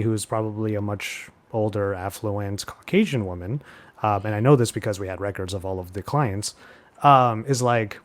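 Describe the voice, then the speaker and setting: A man with a Californian accent and a medium-pitched voice co-hosts a casual podcast. He speaks informally with plenty of slang and puts emphasis on his points as he tells anecdotes. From Los Angeles, United States. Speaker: podcast co-host and tech content creator. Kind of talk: casual chat with animated anecdotes.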